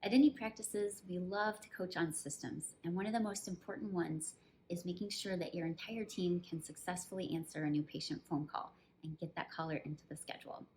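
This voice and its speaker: A woman with a slightly low-pitched voice presents instructional training as a coach. She explains each point clearly, step by step. There is faint ambient noise in the recording. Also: a little fast, darkish tone, poor audio. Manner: clear step-by-step explaining, with acted example phrases.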